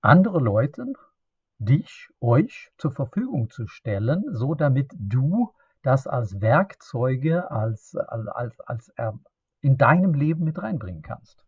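A middle-aged man with a Swedish accent, a native Swedish speaker, hosting a solo podcast in a halting, unscripted way, often restarting and searching for words. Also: medium pitch, big pitch swings, breathy edge, dry recording, narrow, tinny audio. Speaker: podcast host.

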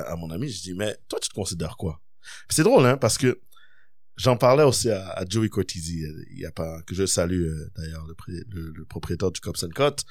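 Lively storyteller man. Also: sits low, no echo, studio quality. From Quebec. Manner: expressive storyteller, acts out dialogue. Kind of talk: casual discussion, storytelling.

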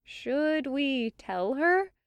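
Woman playing a wheedling, high-pitched young-sounding man. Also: big pitch swings, very slow, dry recording, full character voice. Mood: reluctant. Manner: coaxing, sly. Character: hopeful, wheedling, cheeky male companion. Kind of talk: audiobook character acting.

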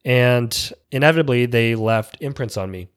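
A man in his thirties speaks at an ordinary, middle pitch. His prepared talk is earnest and reflective. Now and then he stops to restart a phrase. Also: normal speed, clear, resonant tone. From Canada. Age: 30s. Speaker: educator giving a personal talk. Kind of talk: prepared personal speech.

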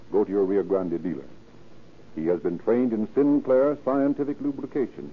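A man with an American accent delivering formal, declamatory scripted narration as a 1930s radio announcer. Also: fairly low pitch. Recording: muffled, faintly noisy 1930s radio recording.

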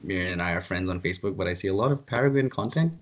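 A man hosting a casual chat podcast with a lowish voice. He explains at length, full of fillers, with an exasperated edge. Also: animated, very fast. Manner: rambling, exasperated. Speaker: podcast host.

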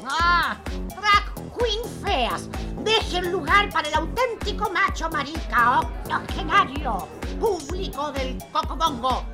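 A woman's voice: extremely high pitch, animated, slow, loud music behind the voice, full character voice. A woman acting a randy, foul-mouthed, brazen old man in his eighties, in campy, melodramatic parody radio theatre. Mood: defiant insults. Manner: bratty, insulting, melodramatic.

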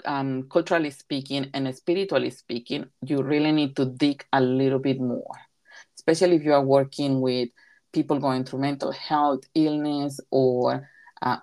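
Low-voiced woman with a Mexican accent. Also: a little mellow. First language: Spanish. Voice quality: emphatic, repeats key phrases. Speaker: recreation therapy leader. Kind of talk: interview answers.

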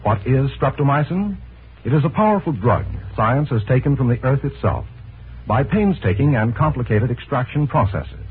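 A man's voice: mid-range voice, lively intonation, moderate pace, slightly rough. An American man working as a 1940s radio announcer, reading a script in a formal, polished way. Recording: tinny 1940s radio broadcast.

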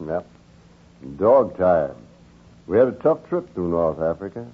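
A man's voice: low-pitched, sing-song, a little slow, husky, full character voice. An actor playing a weary but proud merchant captain and family man in a 1940s radio drama. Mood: tired, proud. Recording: tinny, narrow-band 1940s radio recording.

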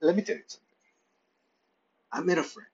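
A man's voice: high voice, fairly quick, vocal fry, darkish tone, highs cut off. A man narrating a playful, rambling story in the first person from his pet's point of view. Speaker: pet owner narrating.

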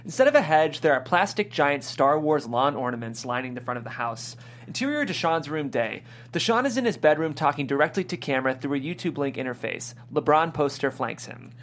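A man's voice: a little high, lively intonation, brisk, a bit bright. A man giving scripted narration, reading stage directions at a table read.

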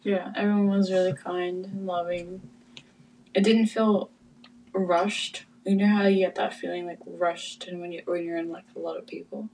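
A woman co-hosting a casual podcast chat, easygoing and laughing often, with a croaky sore throat. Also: lowish voice, little pitch movement, normal speed, darkish tone, distant mic, rough recording. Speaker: podcast co-host.

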